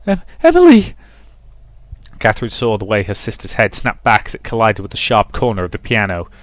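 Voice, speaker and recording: A man's voice: fairly low pitch, fairly even intonation, quick pace, fairly bright tone. A man hosting a let's play, moving between casual commentary and reading a visual novel's story aloud. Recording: roomy echo with music behind. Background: game music.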